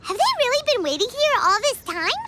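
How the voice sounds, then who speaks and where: A woman voicing a tiny, childlike fairy companion in a video game, earnest and excitable with a squeaky manner. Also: brisk, very noisy. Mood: excited, worried. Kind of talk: scripted video game voice acting.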